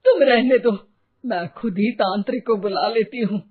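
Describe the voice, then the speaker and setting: A woman's voice: a little high, normal speed, breathy edge. A woman voicing an anxious, superstitious old village mother-in-law in a story, dramatic and scolding, through a tinny narrow band.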